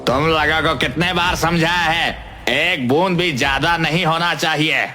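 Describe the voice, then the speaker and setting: A man's voice: fairly high pitch, normal speed, close to an everyday voice. A man playing an arrogant cartoon factory manager, curt, scolding and dismissive. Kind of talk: animated cartoon character acting. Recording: music bed under the voice.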